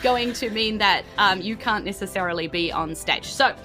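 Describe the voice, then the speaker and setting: A woman's voice: mid-range voice, moderate pace, music in the background. A woman, informally narrating a tabletop game as game master. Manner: informal, colloquial.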